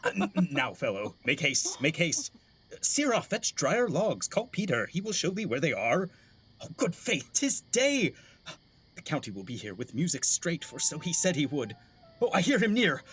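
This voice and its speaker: A man's voice: fairly high pitch, big pitch swings, normal speed, fairly bright tone, slight background noise. A man playing a fussing older father and master of the house in a classical Shakespeare play, commanding and theatrical. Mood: bustling, impatient. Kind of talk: classical Shakespearean stage acting.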